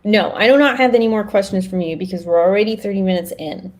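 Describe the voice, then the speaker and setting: A woman talks casually as a podcast co-host. Her voice sits at a middling pitch, and her delivery is informal and conversational. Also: fast talker, a little mellow.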